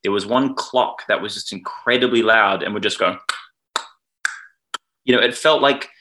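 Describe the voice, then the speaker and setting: A man's voice: lowish voice, normal speed, pushed and bright, rough recording. A man in his thirties, raised in New Zealand, with an Australian accent, chatting casually as a podcast guest in a rambling way, often breaking off mid-sentence. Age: 30s. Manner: rambling, self-interrupting, laughs between thoughts. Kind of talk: casual chat.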